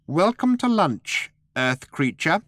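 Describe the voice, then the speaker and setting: A man voicing a curt little mouse boss, high-pitched. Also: big pitch swings, slow, no room sound, studio quality, full character voice. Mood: welcoming. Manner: sharp, firm, imperious. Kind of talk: audiobook character voice.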